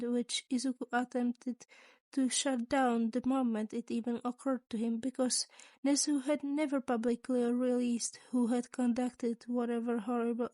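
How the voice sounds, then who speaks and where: A woman's voice: on the high side, mellow, no room sound. A woman reading scripted fan fiction aloud as the narrator of an audio story. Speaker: podfic narrator.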